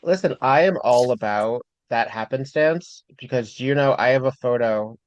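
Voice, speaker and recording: A man in his forties hosting a podcast, talking in an animated, chatty way and laughing easily. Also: mid-range voice, normal speed, no echo. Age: 40s. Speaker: podcast host. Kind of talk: casual interview chat. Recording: dull lo-fi dry sound.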